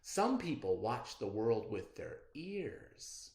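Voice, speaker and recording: A storybook reader voicing a brisk, folksy grandmother who corrects her grandson. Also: medium pitch, very animated, darkish tone, reverberant. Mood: firm, wise. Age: older. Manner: folksy, emphatic, no-nonsense.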